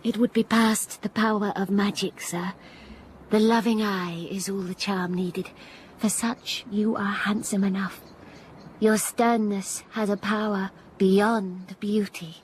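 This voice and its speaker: An actress playing an earnest young governess who also narrates a radio drama, soft and restrained. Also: female voice, medium pitch, steady tone, takes it slowly, slight background noise, lightly acted, rough recording. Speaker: narrator and heroine. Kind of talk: radio drama acting and first-person narration.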